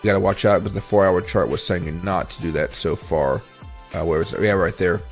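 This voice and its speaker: Tired, raspy male crypto streamer. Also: deep voice, brisk, soft background music. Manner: rambling, thinks aloud while scrolling charts and reading chat. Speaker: crypto livestream host. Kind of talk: casual livestream chart analysis.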